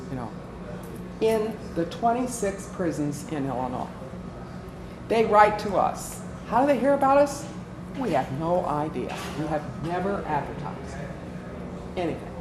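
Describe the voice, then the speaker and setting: A woman giving an informal workshop talk as a volunteer presenter, conversational and inclined to tell stories. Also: fairly low pitch. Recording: echoey room with clear background noise.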